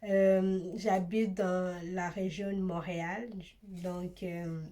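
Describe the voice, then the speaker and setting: A woman's voice: fairly low pitch, little pitch movement, slow pace, dark tone. Woman talking hair care, many hesitations. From eastern DR Congo. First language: Swahili. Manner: hesitant, many "euh" fillers and restarts. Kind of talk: casual Q&A vlog to viewers.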